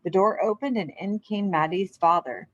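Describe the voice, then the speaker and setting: A woman's voice: medium pitch, normal speed, dry recording, highs cut off. Woman teacher addressing young children, dry sound. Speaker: elementary physical education teacher. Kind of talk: children's lesson and storybook read-aloud.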